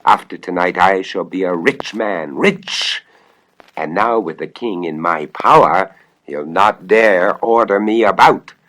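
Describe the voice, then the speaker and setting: A man's voice: sits low, unhurried, a bit bright, low-quality recording. A man voicing a greedy, scheming old wizard with theatrical delivery, in a horror tale. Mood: gloating. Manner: theatrical, incantatory.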